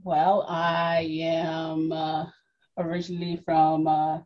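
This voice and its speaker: A woman's voice: deep voice, completely flat delivery, slow pace, highs cut off. A woman in her forties from Congo, raised in New York, telling her personal story as a podcast guest, reflective, with many fillers and restarts. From Democratic Republic of the Congo, raised in New York. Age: 40s.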